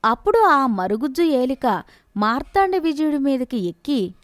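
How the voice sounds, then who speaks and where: A woman narrating a scripted bedtime fantasy story in a steady, expressive storytelling manner. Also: sits high, moderate pace, dry recording, studio quality.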